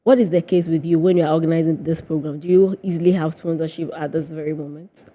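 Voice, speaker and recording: A woman's voice: low voice, steady tone, quick pace, mellow. A woman co-hosting a conversational studio interview. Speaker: TV co-host.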